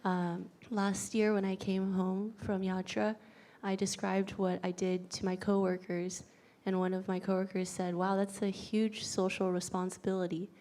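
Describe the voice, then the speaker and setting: An American woman giving a grateful personal testimony, soft-spoken and steady with small fillers. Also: medium pitch, normal speed. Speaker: pilgrim sharing reflections.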